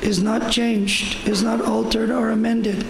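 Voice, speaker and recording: An older man with a hoarse voice giving an informal devotional talk at a temple, rambling and repeating himself. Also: high-pitched, flat delivery, a little slow, echoey room, background noise. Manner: rambling, repetitive, with fillers. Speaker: visiting speaker at a temple. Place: temple hall.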